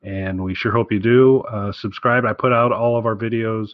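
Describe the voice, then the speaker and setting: A man's voice: on the low side, steady tone, normal speed, dry recording. A man co-hosting a podcast in casual chat, rambling and correcting himself as he goes. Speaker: podcast co-host. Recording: dull, lo-fi close dry audio.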